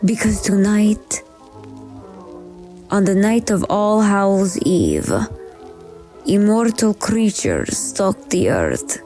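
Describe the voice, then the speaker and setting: A woman's voice: medium pitch, sing-song, mellow. A woman giving a dramatic, ominous scripted narration as the show's narrator. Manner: slow, ominous storytelling. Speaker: show narrator. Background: faint music.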